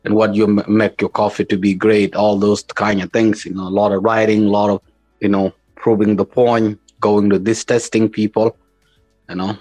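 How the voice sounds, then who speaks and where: Man, South Sudanese accent, low pitch, flat intonation, halting informal interview answers, founder. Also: faint music behind. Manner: informal, repetitive, halting phrasing with non-standard English grammar. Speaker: company founder, interview guest.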